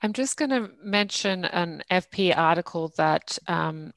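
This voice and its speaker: A woman in her thirties moderating an interview on a video call, putting questions in a thoughtful, halting way. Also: fairly low pitch, expressive, creaky, a bit bright, dry recording. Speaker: host and moderator, magazine editor. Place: indoor room. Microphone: headset on video call.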